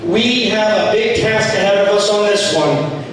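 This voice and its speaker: A hoarse man giving an impassioned speech at a political group meeting, rallying the room and asking it questions. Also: high voice, unhurried. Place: meeting hall. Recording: echoey hall from far off, noisy.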